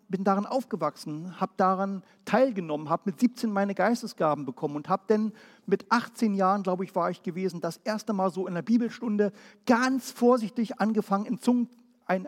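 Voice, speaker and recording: A man from Berlin preaching a Pentecostal sermon, firing off rhetorical questions and then dropping quiet and low. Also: in a large hall. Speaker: pastor.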